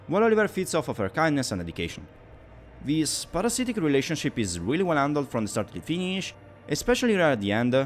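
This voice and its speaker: A man delivering a scripted video game review in an explanatory, opinionated way. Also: medium pitch.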